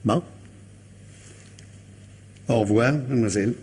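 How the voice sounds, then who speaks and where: An actor in a French radio mystery drama playing a composed, courteous police commissioner who questions formally and patiently. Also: male voice, medium pitch, slow pace, a little mellow, breathy edge. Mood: firm, courteous.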